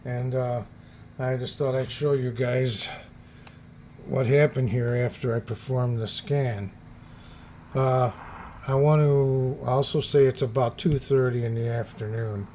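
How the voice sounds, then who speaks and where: A man's voice: medium pitch, fairly even intonation, unhurried, dark tone. A man hosting a hobby video, chatting casually and informally to his viewers. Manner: informal, folksy, addressing viewers as guys. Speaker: host of a radio hobby video channel. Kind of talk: casual vlog demonstration chat. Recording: faint background noise.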